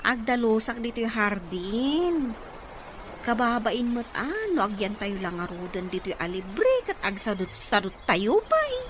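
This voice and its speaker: A woman's voice: a little high, big pitch swings, a little slow, noisy background. A woman playing an industrious middle-aged aunt, anxious to please, in an Ilocano radio drama. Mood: busy, earnest.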